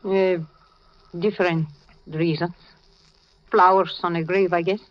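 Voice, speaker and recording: An actress playing a tough but generous, grieving Latin American woman with a Spanish accent, brisk and knowing, in 1940s radio drama style. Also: lowish voice, steady tone, close to an everyday voice. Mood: wistful. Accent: Spanish-accented English, Latin American. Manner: brisk, bossy, knowing, with simple foreign phrasing. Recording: dull, lo-fi old radio recording.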